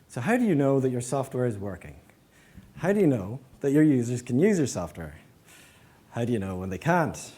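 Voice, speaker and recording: A man's voice: mid-range voice, mellow. A man giving a prepared presentation as an engineering manager, explaining step by step and posing rhetorical questions. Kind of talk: prepared conference-style presentation.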